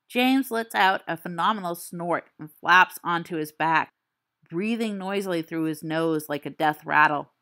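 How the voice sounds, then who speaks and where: Low-voiced woman reading a spooky tale. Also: a little slow. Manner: expressive, suspenseful storytelling. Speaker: audiobook reader. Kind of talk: fan audiobook reading.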